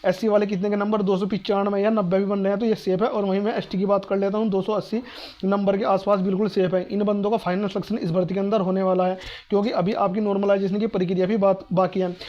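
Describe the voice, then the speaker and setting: Fast, high-voiced North Indian man explaining. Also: little pitch movement, dull audio. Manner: repetitive, reassuring, asks and answers own questions. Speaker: exam-advice video host. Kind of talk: informal explanatory talk to students.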